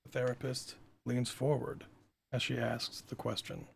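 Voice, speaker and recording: A man with a raspy, creaky voice, informal and self-deprecating, reading stage directions as the writer-director at a table read. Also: medium pitch, a little slow. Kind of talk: screenplay table read narration.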